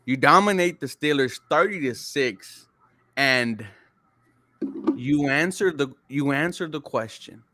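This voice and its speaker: A man's voice: on the high side, lively intonation, sharp tone, dry recording. A young man hosting a casual sports podcast, talking in an emphatic, hyped-up way. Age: young adult. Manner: hyped, emphatic, plugs sponsors in a fast read. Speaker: podcast host.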